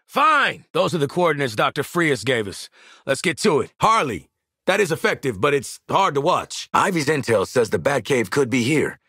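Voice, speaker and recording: An actor playing a tough, cocky, wisecracking marksman mercenary in a video game, with a gravelly American voice and a brash, slangy delivery. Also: mid-range voice, very animated, normal speed, sharp tone, no room sound, clean studio sound, heavily acted. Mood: cocky, dry. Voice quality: gravelly, raspy. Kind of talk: video game combat voice lines.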